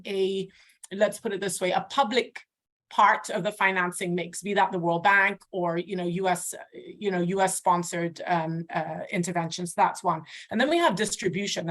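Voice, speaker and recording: A woman speaking as a development finance panelist, talking fluently and assertively with quick asides. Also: lowish voice, fairly bright tone. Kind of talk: panel discussion.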